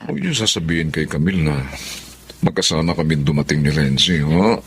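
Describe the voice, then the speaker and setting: An actor in a Filipino radio drama playing a cheating, bullying husband, menacing and brazen. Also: male voice, rumbling low, brisk, slight background noise.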